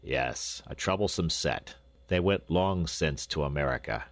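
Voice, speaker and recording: A man voicing a brusque, gruff doctor in a storybook, speaking in curt, clipped phrases. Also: deep voice, expressive, takes it slowly, no echo, heavily acted.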